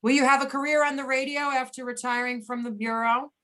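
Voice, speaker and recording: A woman acting a frank, sharp-tongued federal agent in an amateur readers theater. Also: high voice, fairly even intonation, brisk. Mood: teasing.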